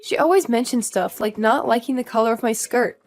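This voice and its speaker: Higher-voiced young wife played by an actress, patient and polite. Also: female voice, fairly quick, a little mellow. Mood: mildly exasperated. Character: patient young newlywed wife, tired but dutiful. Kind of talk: scripted dialogue, audio story drama.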